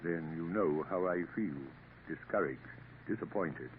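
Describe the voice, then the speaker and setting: An actor playing a gentle, weary older father in a 1950s radio drama, soft-spoken and wistful. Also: low-pitched, measured pace. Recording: tinny old radio broadcast, faint hiss.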